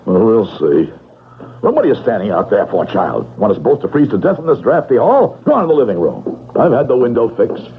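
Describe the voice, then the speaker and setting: A man's voice: medium pitch, very animated, a little fast. A crusty old man grumbles and barks in curt bursts, his voice lurching widely up and down. It is radio drama acting, heard through a narrow, phone-like old recording with some hiss. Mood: gruff, grudging. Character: gruff, irascible old man, grudgingly soft-hearted. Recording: muffled, noisy 1970s radio recording.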